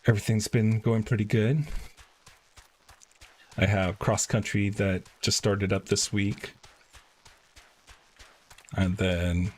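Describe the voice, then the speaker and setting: A man livestreaming a game, chatting casually with viewers in a slightly husky voice. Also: lowish voice, level tone, slow, long pauses, poor audio. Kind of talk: casual livestream chat and reading game dialogue aloud.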